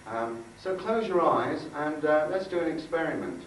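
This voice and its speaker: A man giving an informal workshop talk, halting and conversational, repeating phrases for emphasis. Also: a little high, expressive. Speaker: workshop speaker and aura healer. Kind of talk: informal talk with demonstration and guided visualisation. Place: indoor room before a group. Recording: echoey room, distant mic, faint noise.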